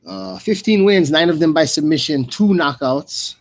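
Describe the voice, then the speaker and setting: A man's voice: on the high side, normal speed. A man hosting a live fight broadcast with loose, rambling, slangy, informal commentary. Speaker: radio play-by-play host.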